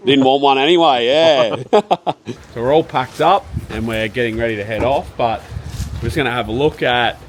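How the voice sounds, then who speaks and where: A man's voice: medium pitch, normal speed, slight background noise. An Australian man leading an off-road trip, chatting casually and laughing easily as he narrates. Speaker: vlogger leading a 4WD trip. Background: background music.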